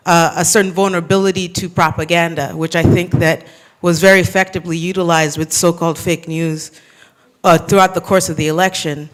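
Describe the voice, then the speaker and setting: High-voiced man from Kenya, smooth and clear. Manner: hesitant, many fillers and restarts. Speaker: audience member asking a question. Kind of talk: public Q&A question. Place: bookstore event room.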